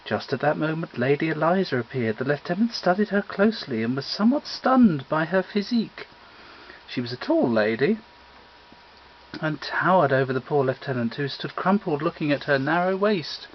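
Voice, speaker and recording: Animated male story reader, lo-fi recording. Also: fairly high pitch, normal speed, a little hiss or hum. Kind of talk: comic scripted narration. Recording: dull lo-fi home recording.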